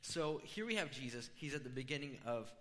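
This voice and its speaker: A middle-aged man preaching a conversational sermon, informal and explanatory, with a voice a little rough from being unwell. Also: mid-range voice, animated, brisk, a bit bright. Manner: informal, storytelling, explaining step by step. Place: large room, amplified before a congregation. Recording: reverberant hall.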